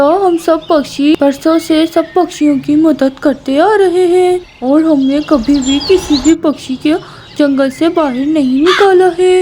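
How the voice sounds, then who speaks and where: Someone voicing a high-pitched, scheming male crow. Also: mellow, slight background noise.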